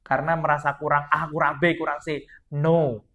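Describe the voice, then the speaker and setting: A man's voice: a little high, little pitch movement, moderate pace, pushed and bright, highs cut off. A man in his thirties from Madura, a podcast guest giving earnest, encouraging advice to young listeners in a casual chat. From Madura, Indonesia.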